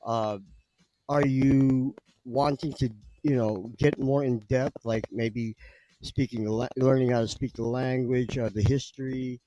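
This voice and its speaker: Male podcast host, laid-back, casual questions, mid pitch. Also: steady tone, a little slow, mellow, no room sound, muffled recording. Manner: laid-back, frequent "right, right" backchannels.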